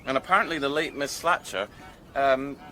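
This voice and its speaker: A man's voice: mid-range voice, normal speed, bright, forceful tone, slight background noise, close to an everyday voice, rough recording. A man playing a polite, long-suffering police sergeant in a radio drama, earnest and deferential. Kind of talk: British radio drama acting.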